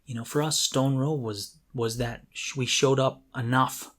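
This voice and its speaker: A middle-aged man co-hosting a podcast, talking casually and reflectively in an earnest, halting way as he thinks aloud. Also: mid-range voice, steady tone, fairly bright tone. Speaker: podcast co-host. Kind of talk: casual, reflective talk about creativity.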